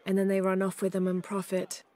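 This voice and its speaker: A woman playing a composed, quietly determined young adventurer and researcher in a video game cutscene. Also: mid-range voice, monotone, fairly quick, natural voice. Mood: calm, sympathetic.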